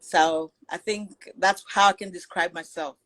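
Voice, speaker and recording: A woman's voice: fairly low pitch, fairly even intonation, a little slow, fairly bright tone, low-quality recording. Woman from Cameroon, middle-aged, earnest and talkative, video call guest. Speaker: guest, business founder. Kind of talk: live video call interview.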